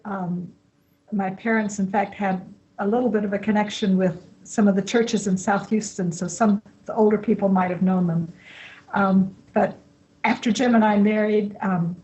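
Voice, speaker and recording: A woman in her sixties who grew up in Brazil, a missionary Bible teacher answering interview questions in a calm, measured way. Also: medium pitch, rough recording.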